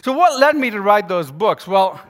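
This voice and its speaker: A man's voice: sits high, very animated, moderate pace, a bit bright. A middle-aged man giving a conversational, persuasive public talk as a preacher, using anecdotes, rhetorical questions and joking asides. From born in Ghana, grew up across Africa, Jamaica, India and Yemen. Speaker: guest speaker, preacher and author. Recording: echoey hall with long reverb.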